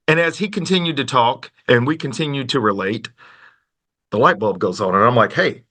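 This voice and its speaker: A man hosting a conversational podcast interview in a folksy, polite, animated manner. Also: mid-range voice, normal speed. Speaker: podcast host.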